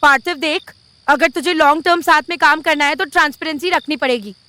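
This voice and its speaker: A woman's voice: sits high, brisk, bright, forceful tone, no echo. A woman playing an assertive, sassy young business partner in an audio drama, blunt and insistent, with an Indian accent. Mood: indignant. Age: young adult. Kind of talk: audio drama dialogue, Hindi mixed with English.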